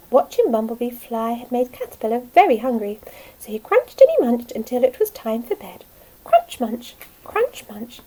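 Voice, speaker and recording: A woman speaking as a nursery teacher, telling a story to young children in a simple, expressive way. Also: a little high, slightly rough, rough recording.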